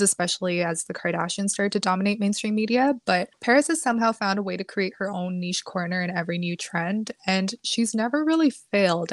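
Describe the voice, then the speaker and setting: A woman's voice: mid-range voice, no room sound. Dry-recorded woman co-hosting a podcast. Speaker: podcast co-host. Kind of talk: conversational podcast commentary.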